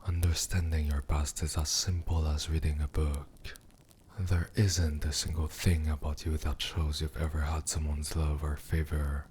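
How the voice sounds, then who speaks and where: Man voicing a very deep, possessive demon king. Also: flat delivery, slight background noise. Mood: cold, probing. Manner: slow, deliberate, condescending, softly menacing. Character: cruel, possessive male demon king, coolly amused. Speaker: ASMR roleplay performer. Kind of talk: scripted ASMR character roleplay.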